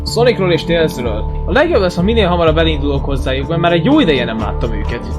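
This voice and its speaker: A man playing a brash, hot-headed young hedgehog combat driver in a fan audio drama, with an excitable delivery. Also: on the high side, music in the background, natural voice. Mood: confident. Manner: excitable, exclamatory.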